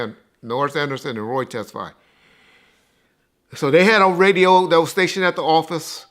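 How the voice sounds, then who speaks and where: A man's voice: medium pitch, a bit bright. An American man hosting a podcast, talking casually in an informal, slangy, emphatic way as he recalls things. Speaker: podcast host. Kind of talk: casual recollection and chat.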